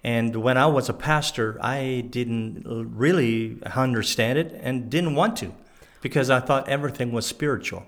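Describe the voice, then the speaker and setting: Guest: middle-aged man, French Canadian-sounding accent, halting aphasic delivery, candid testimony with frequent pauses and fillers. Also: mid-range voice, a bit bright. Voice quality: halting aphasic speech after a stroke. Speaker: guest, former pastor. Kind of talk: personal testimony in an interview.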